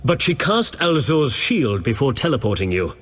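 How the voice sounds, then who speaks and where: An earnest knight of a holy order for a fantasy game. His voice is rough-edged and formal, full of righteous purpose. Long reverb trails his words. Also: medium pitch, big pitch swings, normal speed. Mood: urgent, resolute. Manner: solemn, formal. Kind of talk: video game voice acting. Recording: long reverberant hall echo.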